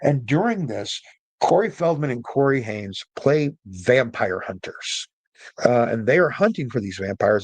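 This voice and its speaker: A man's voice: medium pitch, vocal fry, dry recording. A middle-aged man hosting a storytelling podcast, explaining things in a casual, opinionated, informal monologue. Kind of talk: casual explanatory monologue about films and folklore.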